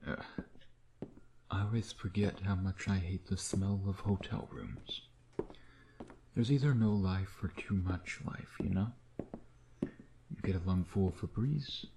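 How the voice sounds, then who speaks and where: A man playing a smug, teasing vampire predator in an intimate ASMR roleplay, sardonic and flirtatious, often slipping into breathy murmurs. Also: low-pitched, noisy background. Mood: wry, flirtatious.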